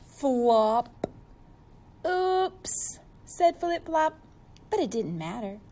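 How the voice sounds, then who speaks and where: A woman's voice: a little high, big pitch swings, slow, dark tone. A woman reading a storybook aloud to young children, expressively and speaking to them directly.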